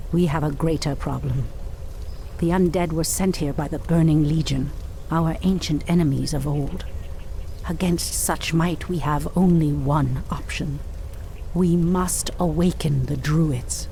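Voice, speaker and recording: An actress playing a solemn, commanding night elf priestess and war leader in a video game, speaking gravely and formally. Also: female voice, low-pitched, fairly even intonation, a little slow, dark tone, slight background noise. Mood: grave, urgent. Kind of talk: video game cutscene acting.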